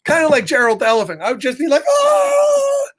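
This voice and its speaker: A middle-aged man reading aloud and telling casual stories to children in an encouraging, expressive, chatty way. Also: extremely high pitch, very fast. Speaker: storytime reader for children. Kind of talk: animated read-aloud and casual personal storytelling.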